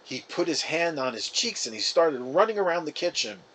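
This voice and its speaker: A man giving an expressive first-person reading of a children's book, as an actor narrating. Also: a little high, normal speed, low-quality recording.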